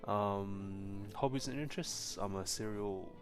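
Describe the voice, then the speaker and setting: A man's voice: lowish voice, measured pace, soft background music. A young man, born in China, hosting a casual tech live stream, answering chat questions with lots of ums and restarts. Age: young adult. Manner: relaxed, frequent ums and restarts.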